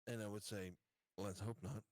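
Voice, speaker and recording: A man playing a tabletop game, talking through options casually in a halting, deliberating way. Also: lowish voice, steady tone, a little mellow, no echo.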